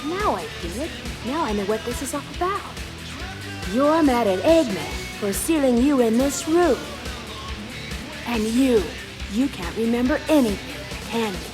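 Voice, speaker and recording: Spirited high-voiced game girl. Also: lively intonation, unhurried. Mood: knowing, accusing. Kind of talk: video game cartoon voice acting. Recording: music over game dialogue.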